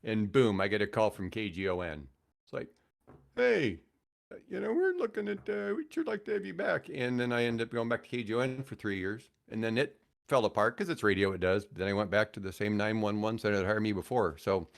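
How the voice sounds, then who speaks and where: Older low-voiced man swapping stories. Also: big pitch swings, fairly quick, creaky. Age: 60s. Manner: jokey, self-mocking, acts out quoted dialogue. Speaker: podcast guest, retired radio host. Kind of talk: casual anecdotal storytelling.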